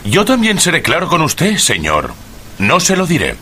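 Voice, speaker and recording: An actor playing a blunt, stubborn, irritable poultry stallholder in a period mystery drama, gruff and combative in manner. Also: male voice, on the high side, wildly swooping pitch, moderate pace, pushed and bright, a little hiss or hum, heavily acted. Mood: irritated.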